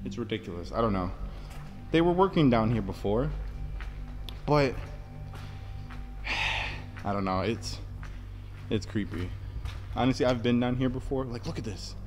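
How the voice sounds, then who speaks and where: A young man vlogging as he walks through an empty basement, talking in a rambling, nervous, hushed way, recorded on a handheld phone. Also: mid-range voice, expressive, measured pace, long pauses. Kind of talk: casual handheld walkthrough vlog. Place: empty concrete basement, large indoor space. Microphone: handheld phone camera. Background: faint music.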